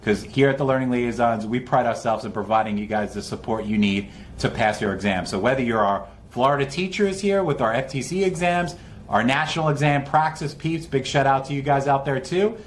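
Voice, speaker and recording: A man's voice: mid-range voice, fairly quick. Guy doing an upbeat intro for an online class, encouraging and informal, bit of background noise. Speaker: host introducing an online math test-prep session.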